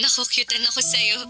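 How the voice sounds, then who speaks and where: Sweet young woman, high bright voice, unhurried, giggly and coy, radio romance drama. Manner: giggly, coy, gently teasing.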